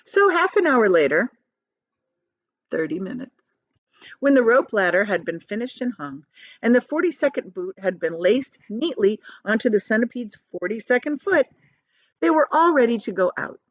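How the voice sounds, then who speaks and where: A woman's voice: a little high, big pitch swings, moderate pace, dry recording, highs cut off. A woman reading a story aloud to children like a teacher, expressively, with simple explanatory asides.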